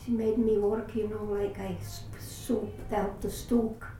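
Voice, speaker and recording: Older Latvian-accented woman, halting and roomy. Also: mid-range voice, level tone, warm tone. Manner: halting, searching for words, restarts often. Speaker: interviewee. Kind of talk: oral history interview. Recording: roomy echo, distant mic, faint hiss.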